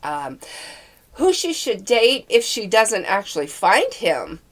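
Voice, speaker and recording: A woman's voice: mid-range voice, very animated, slow. Sick woman, stuffy nasal voice, rambly book chat, takes her time. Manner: rambling, chatty, full of fillers. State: sick with a cold, nasal and congested. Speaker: book vlogger. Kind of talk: casual chat about books.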